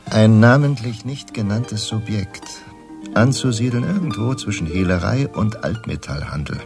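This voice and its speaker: An actor playing a shrewd local newspaper chief in a radio crime drama, telling things in a knowing, theatrical, self-assured way. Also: male voice, on the low side, animated, normal speed, creaky, music in the background. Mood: ominous storytelling.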